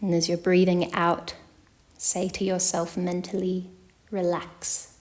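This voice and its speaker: A woman leading a guided yoga nidra meditation as a teacher, speaking in a calm, measured, instructional manner with long pauses. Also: on the low side.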